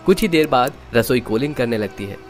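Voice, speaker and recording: A man's voice: mid-range voice, lively intonation, a little fast, soft background music. A man reading scripted narration for a story.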